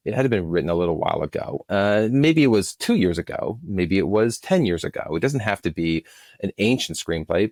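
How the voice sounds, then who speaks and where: Man, very low pitch, dry recording, interview guest, explanatory, repeats phrases for emphasis. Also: dry recording. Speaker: interview guest, film and audio drama producer. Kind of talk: conversational interview answers.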